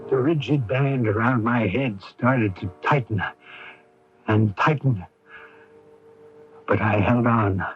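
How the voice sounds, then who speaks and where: A man playing a middle-aged, devoted, anxious father in a radio drama, speaking in a halting, earnest, plain-spoken way. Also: medium pitch, lightly acted. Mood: somber, reflective. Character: devoted, anxious, simple-minded father of ailing daughter. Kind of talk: 1980s radio drama acting with first-person narration. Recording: dull lo-fi AM radio recording.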